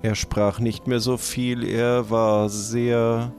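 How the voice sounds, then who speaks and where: A man playing a cagey, formal shopkeeper in an improvised pirate adventure, speaking deliberately with drawn-out pauses and always ready to haggle. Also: mid-range voice, flat delivery, a little mellow, soft background music. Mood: guarded, grave.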